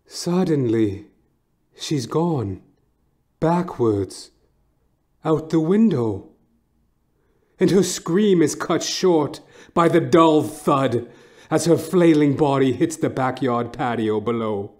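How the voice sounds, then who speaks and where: Narator horor pria, sangat lambat dan dramatis. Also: male voice, fairly high pitch, expressive, a little mellow. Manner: intense, theatrical, pausing between short phrases. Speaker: narrator. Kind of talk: dramatic second-person horror narration.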